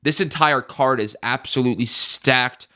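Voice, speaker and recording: Young guy with a stuffed-up nose breaking down fights, bright edgy tone, says stuff twice for emphasis. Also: medium pitch, normal speed. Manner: emphatic, repeats key phrases, swears casually. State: congested, stuffy. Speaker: MMA YouTuber. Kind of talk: casual fight predictions.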